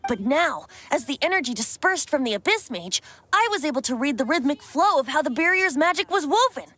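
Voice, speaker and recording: A woman's voice: high-pitched, sing-song, brisk, pushed and bright, dry recording, full character voice. A woman voicing a playful, theatrical young male bard in a video game, speaking eloquently like a storyteller. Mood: explaining, earnest. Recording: music under the voice.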